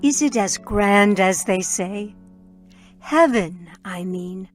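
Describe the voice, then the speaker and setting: A woman's voice: mid-range voice, expressive, takes it slowly, faint music behind. An actress playing a tender, awed woman newly arrived in heaven, hesitant and earnest, in a radio drama. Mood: awed, curious.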